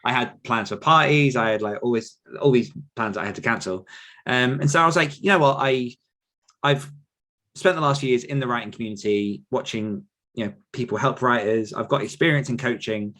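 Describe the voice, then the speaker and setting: A man's voice: medium pitch, a little fast, a bit bright. A man speaking as a podcast guest, giving reflective, explanatory answers in a conversational interview style. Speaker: podcast guest, author and writing coach.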